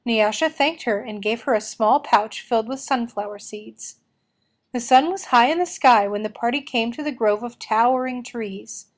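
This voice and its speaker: A woman reading a children's story aloud in an expressive storytelling style. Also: a little high, normal speed. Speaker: storybook reader.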